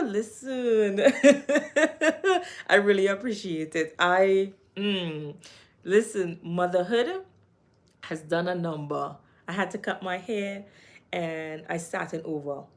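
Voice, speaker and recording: A Trinidadian woman hosting a casual livestream at home, reading viewer comments, laughing often and giving encouragement. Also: on the low side. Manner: warm-hearted, encouraging, laughs often, reads comments aloud. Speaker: live stream host.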